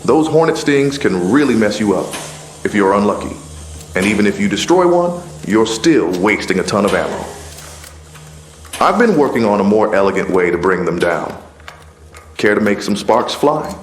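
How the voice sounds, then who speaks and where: Low voiced guy acting as friendly tinkerer in a video game, casual, bit echoey, music behind. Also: expressive, moderate pace, a little hiss or hum, lightly acted. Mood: encouraging, practical. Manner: casual, encouraging, conversational. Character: friendly male quest-giver and tinkerer in post-apocalyptic settlement. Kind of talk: video game character dialogue.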